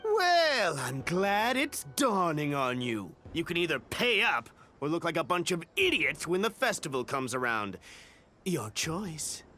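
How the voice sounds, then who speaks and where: An actor playing a crooked, smug merchant in a video game, haggling in a theatrical, scornful manner. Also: male voice, a little high, very animated, measured pace, pushed and bright, faint music behind, heavily acted. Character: indignant, pedantic-hating crooked male merchant, scheming and smug. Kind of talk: video game character acting.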